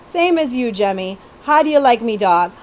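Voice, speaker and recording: A woman voicing a cheeky, boastful boy rat-fighter in a storybook, with squeaky, slangy speech. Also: animated, normal speed, lightly acted. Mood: proud. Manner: uneducated street slang, exclamatory.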